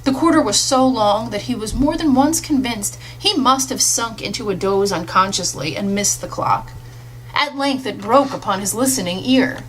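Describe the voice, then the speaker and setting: A woman reading a classic literary story aloud as an audiobook, with expressive scripted narration. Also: a little high, normal speed, poor audio. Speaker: audiobook reader. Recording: audible hum and faint noise.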